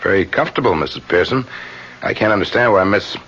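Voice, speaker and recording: An actor playing a polite, probing insurance salesman lodger with quiet menace in a radio drama. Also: low-pitched, lively intonation, fast. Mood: smooth, controlling. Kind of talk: old-time radio drama acting. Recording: muffled, noisy radio broadcast recording.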